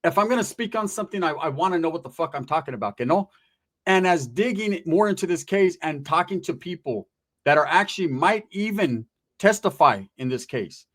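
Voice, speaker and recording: A man with a slightly high voice gives informal online commentary. He speaks straight to his viewers in slangy street talk, pressing points with rhetorical questions and tag questions, and swears casually. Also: lively intonation, a little fast, fairly bright tone. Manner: emphatic, punctuated with rhetorical questions and "right?".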